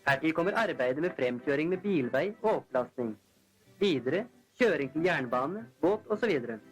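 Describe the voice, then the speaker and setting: A man's voice: a little high, expressive, low-quality recording. A man narrates a 1940s newsreel in a formal, declamatory announcer style, on a vintage film soundtrack. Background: newsreel background music.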